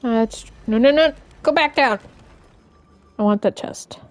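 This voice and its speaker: A woman game streamer chatting casually and informally as she plays, in a halting, rambling way. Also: a little high, no echo, soft background music. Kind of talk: casual live-stream chat while playing.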